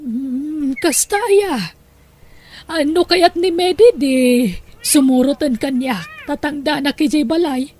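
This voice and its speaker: Woman acting a kindly, high-voiced sister-in-law. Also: slow, darkish tone, a little hiss or hum. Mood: concerned. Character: kindly, generous sister-in-law offering help. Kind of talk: conversational audio drama acting.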